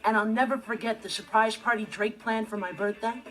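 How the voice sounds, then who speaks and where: An earnest teenage stepbrother in a sitcom. His voice sits fairly high, and he is wordy, animated and quick to turn indignant. Music is clearly heard behind him. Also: low-quality recording. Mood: earnest, aggrieved. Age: teenager to young adult. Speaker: sitcom lead. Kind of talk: sitcom monologue to audience.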